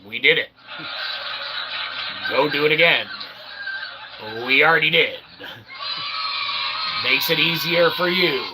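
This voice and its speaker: A man's voice: a little high, takes it slowly, pauses often. A man giving a rambling, informal monologue as a channel host, verbose and repetitive, with mock-grandiose convoluted phrasing and frequent check-in tags. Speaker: host of an independent online channel. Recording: clear background ambient noise.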